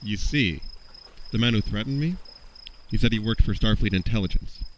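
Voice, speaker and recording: An actor playing a grave male Starfleet admiral, with a measured, formal delivery. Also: lowish voice, slight background noise, close to an everyday voice, poor audio. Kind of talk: fan audio drama acting.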